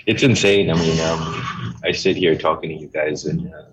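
A middle-aged man from Hong Kong, a guest telling his story in a relaxed, rambling way with many fillers. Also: rumbling low, normal speed, highs cut off, background noise. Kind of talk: casual interview talk.

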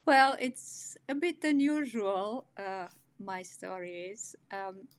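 Slow, hesitant Bulgarian-accented woman. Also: medium pitch, animated, no room sound. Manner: hesitant, many pauses and fillers. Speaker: guest, illustrator and author. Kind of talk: personal storytelling in an interview.

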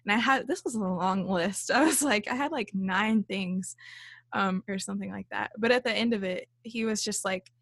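A woman's voice: medium pitch, moderate pace, fairly bright tone, no echo. Chatty young woman with a small voice. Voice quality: small, light voice. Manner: chatty, laughs often, many fillers. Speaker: band leader and songwriter. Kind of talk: casual interview chat.

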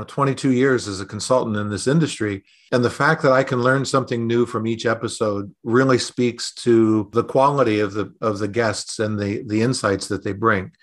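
A middle-aged man talking conversationally in a podcast interview, explaining things thoughtfully and pausing to pick his words. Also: medium pitch, fairly bright tone. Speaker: podcast host and resilience consultant.

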